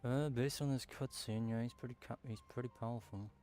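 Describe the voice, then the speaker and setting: A man's voice: mid-range voice, steady tone, brisk, mellow, faint music behind. A man giving casual let's-play commentary, rambling and thinking aloud as he plays. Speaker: gaming streamer.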